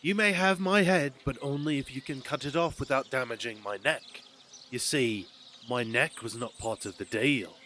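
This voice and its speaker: A man's voice: mid-range voice, moderate pace, bright, forceful tone, pitched above the performer's own voice, lightly acted. A man voicing a sly, smug trickster god in a fantasy audio drama. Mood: smug, cunning. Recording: amateur recording with background noise.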